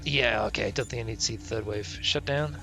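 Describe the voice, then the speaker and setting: A man's voice: on the low side, fairly quick, pushed and bright. A man live-streaming a game, reading its text aloud and adding casual, sweary, offhand comments, with game music behind him. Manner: casual, profane, offhand asides.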